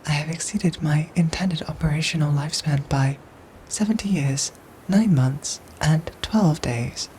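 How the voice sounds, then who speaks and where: A woman playing a calm, protective android soldier in an ASMR audio roleplay, speaking softly and breathily in formal, measured, robotic phrases. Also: low voice, a little hiss or hum, rough recording. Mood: formal, matter-of-fact. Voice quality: soft, breathy, whispery.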